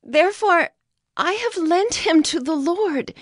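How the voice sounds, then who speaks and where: An actress playing a devout, grateful biblical mother in a dramatized scripture reading, speaking in a solemn, fervent, measured way. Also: extremely high pitch, animated, no echo, clean studio sound. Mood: reverent, grateful.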